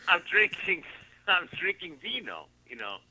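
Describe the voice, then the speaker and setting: A man guesting on a comedy radio show, playing along in a halting, giggly way. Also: high voice, very animated, sharp tone, husky, rough recording. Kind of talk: improvised comedic banter.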